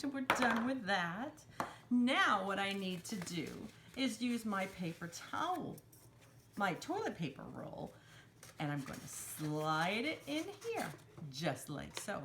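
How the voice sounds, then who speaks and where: A woman leads a craft demonstration for young children, talking through each step in simple words. Her pitch moves up and down in a lively way around an average height. There is some background noise with small clicks. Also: takes it slowly. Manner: simple instructional phrasing, refers to herself in third person. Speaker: children's storytime craft host.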